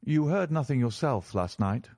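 A man voicing a keen, courteous gentleman detective who speaks in a measured, formal, probing way in a storybook. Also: medium pitch, expressive, creaky, pitched above the performer's own voice.

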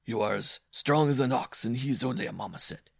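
A man's voice: mid-range voice, fairly quick, creaky, husky, dry recording, clean studio sound, pitched above the performer's own voice. Man acting a vain, teasing circus rider. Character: vain, jovial male circus bareback rider, ladies' man.

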